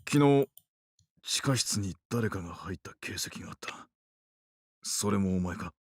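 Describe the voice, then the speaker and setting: A man's voice: low-pitched, expressive, fairly quick, husky, dry recording, full character voice. An actor playing a stern, guarded male doctor in a Japanese visual novel, muttering and restrained. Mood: suspicious, uneasy.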